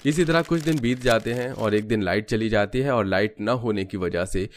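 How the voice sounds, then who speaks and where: A man narrating a scripted animated family story. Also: lowish voice, normal speed.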